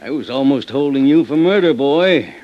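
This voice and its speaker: An American actor playing a sly, folksy small-town sheriff with a needling manner in old radio drama. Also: male voice, mid-range voice, expressive, normal speed, lightly acted. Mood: wary, needling. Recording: noisy, dull old radio recording, clicks.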